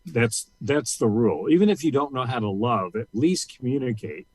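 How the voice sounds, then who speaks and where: An older man from Missouri and Louisiana, a guest author, talking in a thoughtful, measured way with frequent restarts. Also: mid-range voice, animated, breathy edge, no room sound. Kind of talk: casual interview talk.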